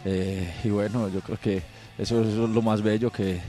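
A footballer talking cheerfully in front of a press backdrop. His voice is low, warm and level, with faint guitar music behind. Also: steady tone, normal speed. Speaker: teammate, footballer. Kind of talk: interview answers.

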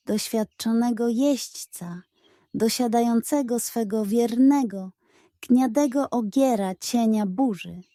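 Slow, mellow woman storyteller. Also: fairly high pitch, dry recording, studio quality. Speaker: children's bedtime story narrator. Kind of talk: scripted story narration, expressive read-aloud.

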